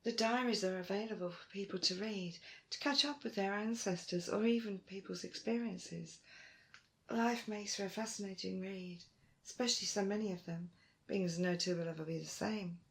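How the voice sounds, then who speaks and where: A woman playing a proud, stubborn archaeologist in an audio roleplay, with emphatic, expressive delivery. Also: moderate pace, gravelly, close to an everyday voice. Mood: suspicious, determined. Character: Dune, proud, determined female archaeologist, stubborn and warm to friends. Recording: roomy, faint rumbling noise.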